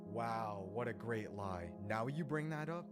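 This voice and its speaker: A man with a mid-pitched, very lively voice swings up and down as he argues in a game. His talk is slangy and incredulous, and loud music runs behind him. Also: a little slow. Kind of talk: argumentative social-deduction game talk.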